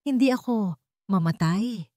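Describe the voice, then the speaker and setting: A woman's voice: medium pitch, lively intonation, a little slow, darkish tone, no room sound, clean studio sound, voice pushed up, lightly acted. Woman voicing a frightened, tearful young mother. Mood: anxious.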